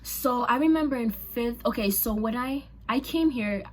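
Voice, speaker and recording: A young woman from Haiti whose first languages were Creole and French, chatting casually to camera in an expressive, slangy way. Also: fairly high pitch, moderate pace, poor audio. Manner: chatty and expressive, slangy, lots of fillers and exclamations. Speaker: vlogger. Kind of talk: casual storytime chat to camera.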